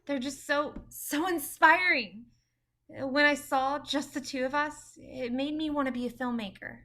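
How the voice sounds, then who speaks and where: A woman's voice: high voice, a little slow, bright, forceful tone. A woman playing a starstruck, modest young female film director in a staged play reading, gushing and a little hesitant. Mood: starstruck, eager.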